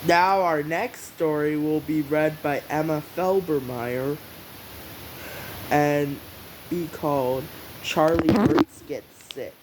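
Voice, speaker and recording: A person reading a story aloud as narrator, in halting, drawn-out phrases with pauses, on a hissy recording. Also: mid-range voice. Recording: hissy, noisy home recording.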